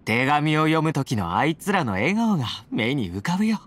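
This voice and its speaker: A man playing a confident, playful young warrior in a Japanese anime-style game, teasing and affectionate in a breezy, smooth-talking way. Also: a little high, very animated, moderate pace, a bit bright. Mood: eager, fond. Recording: game audio with background music.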